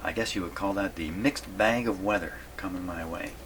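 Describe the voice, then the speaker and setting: Male hobbyist repair host, low register, casual and folksy, talks to himself as he works, noticeably noisy audio. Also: a little fast. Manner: folksy, musing aloud to himself. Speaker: radio repair hobbyist hosting a video. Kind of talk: casual workbench commentary.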